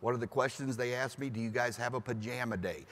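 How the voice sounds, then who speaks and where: A man's voice: mid-range voice, fast talker, a bit bright, in a large hall. A man talking on a business panel, chatty, laughing easily and acting out quotes. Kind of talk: panel discussion.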